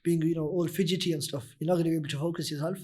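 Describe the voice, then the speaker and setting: A young man with a husky, deep voice that still sits a little high for a man. He talks loosely and casually as a guest, circling back with fillers and restarts. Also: fairly even intonation, rapid-fire, dark tone. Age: young adult. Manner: rambling, many "you know" fillers and restarts. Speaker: nasheed artist, guest. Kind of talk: casual interview talk.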